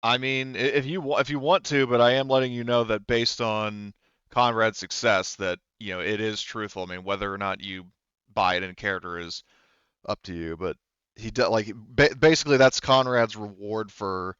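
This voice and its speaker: A man narrating a tabletop roleplaying game as game master, in a halting, conversational way, rephrasing as he goes. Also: on the low side, fairly even intonation, fairly bright tone, smooth, no room sound, dull audio.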